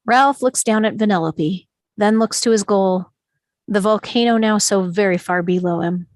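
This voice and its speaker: A woman's voice: medium pitch, normal speed, no room sound, studio quality. A woman reading stage directions as scripted narration at a table read, in a dramatic storytelling manner.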